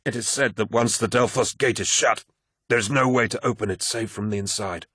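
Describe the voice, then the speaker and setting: A man's voice: lowish voice, fairly even intonation, brisk, pushed and bright, husky, no echo, clean studio sound, pitched above the performer's own voice. A man voicing a solemn, reproachful warrior counsellor in an audiobook, portentous and insistent.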